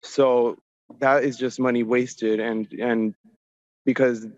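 A man's voice: mid-range voice, little pitch movement, slow, a little mellow. A man giving informal public comment over a remote call from outdoors, speaking in an earnest, insistent way. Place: outdoors near a highway. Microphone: remote call into meeting. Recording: dull, roomy remote-call audio.

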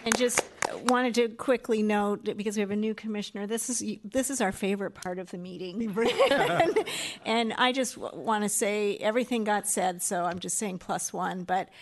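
A woman's voice: medium pitch, normal speed. A commissioner at a public meeting making brief, off-the-cuff remarks with a laugh in her voice.

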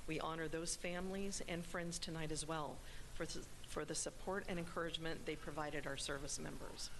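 A woman's voice: deep voice, background noise. A woman, president of a volunteer group, reading formal prepared remarks at a civic ceremony. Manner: formal and measured. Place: city council chamber.

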